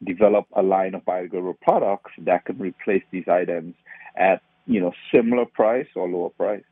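A man's voice: deep voice, steady tone, fairly quick, breathy edge, no echo. A man from Trinidad with a Trinidadian accent, explaining his nonprofit work as an interview guest. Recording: tinny phone-like line, dry.

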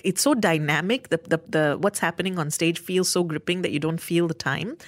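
Woman, low-ish voice, dry close sound, thoughtful reviewer talking over a play. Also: dry recording. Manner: thoughtful, articulate, searching for words. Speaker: theatre reviewer. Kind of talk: casual review discussion.

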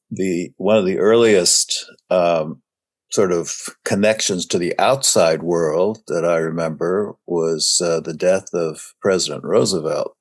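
Older man, very deep and slow. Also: gravelly, husky. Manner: slow, reflective storytelling with many hesitations. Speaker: interview guest. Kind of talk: reminiscing in conversation.

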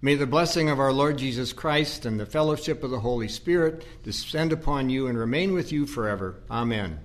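A man's voice: medium pitch, brisk, natural voice. A man playing a stern, disapproving priest and protective son in an audio play, curt and formal. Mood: formal, solemn.

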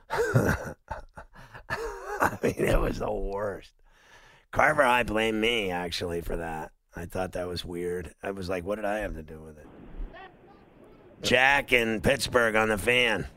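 Blunt, bright-voiced sports radio host man. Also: lowish voice, slow, pauses often. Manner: blunt, opinionated, rambling with fillers.

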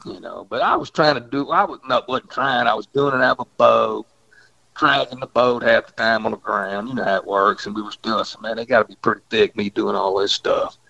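Gravelly fishing guide on phone. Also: male voice, fairly low pitch, fairly quick, pushed and bright. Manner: rambling, drawling, throat-clearing. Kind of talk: casual phone chat. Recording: dull phone-line sound.

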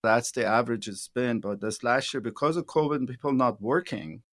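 A man's voice: medium pitch, fairly quick, no echo, studio quality. A man in his sixties, a financial advisor hosting a radio show, chatting casually with plenty of fillers and repeated words. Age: 60s.

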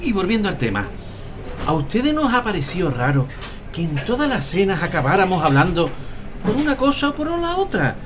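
A man's voice: high voice, huge pitch swings, fairly quick, background noise. A man playing a grumbling, slightly clueless middle-aged father with an Andalusian accent in an audio drama. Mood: musing. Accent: Andalusian Spanish.

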